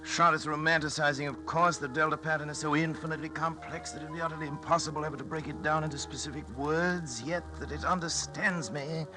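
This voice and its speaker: An actor playing an intense, arrogant, obsessive scientist in a theatrical 1940s radio acting style. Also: male voice, a little high, steady tone, fast talker, few pauses, lightly acted. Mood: brooding, obsessed. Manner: theatrical, urgent, clipped dramatic delivery. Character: obsessive scientist man, feverish, intense, arrogant, narrating his journal. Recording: old radio recording with music behind.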